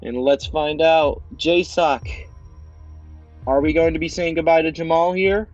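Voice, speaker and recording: A man with a fairly high voice hosts a quiz show, reading out questions and answers with slow, suspenseful pauses. Light music plays beneath him. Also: fairly even intonation, darkish tone, no room sound, lo-fi sound. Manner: upbeat, drawn-out question reading with dramatic pauses. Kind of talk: hosting a voiced game show on a video game platform.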